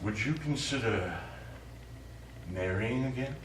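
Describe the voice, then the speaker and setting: An actor playing a smug, lecherous, none-too-bright lawyer in a live stage comedy, with an eager, suggestive manner. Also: male voice, medium pitch, lively intonation, slow pace, far from the mic. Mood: pushy, suggestive. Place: small theatre stage, distant microphone. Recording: echoey, noisy stage recording from afar.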